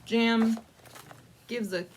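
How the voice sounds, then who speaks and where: A woman's voice: a little high, fairly even intonation, a little hiss or hum. A woman narrating a picture book in a casual, improvised storytime style, with playful, wry asides and thinking aloud as she goes. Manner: playful, wry asides with mock-dramatic commitment; conversational, frequently pausing to think aloud. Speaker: library storyteller reading a picture book to adults.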